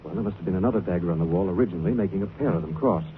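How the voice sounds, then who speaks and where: An actor playing a suave, wry gentleman detective with an urbane manner in a 1940s radio drama. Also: low-pitched, very fast, lightly acted. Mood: smug, explanatory. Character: suave, wry gentleman amateur sleuth, affectionate and unruffled. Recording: tinny, noisy 1940s radio broadcast.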